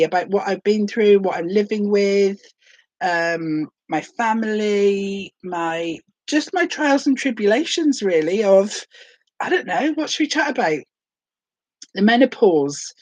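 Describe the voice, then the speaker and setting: A woman in her late forties from Hereford rambles cheerfully in a first vlog, full of cold with a sore throat. She is self-deprecating and chatty, and her voice sits mid-pitch in a dry, close recording. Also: dry recording. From England, Hereford. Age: late 40s. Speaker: vlogger.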